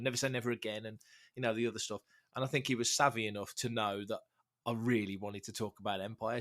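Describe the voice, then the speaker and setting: A man's voice: lowish voice, sharp tone. Congested man telling a long story. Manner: chatty storyteller, self-deprecating. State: congested from a cold. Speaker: podcast guest. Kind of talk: casual podcast chat.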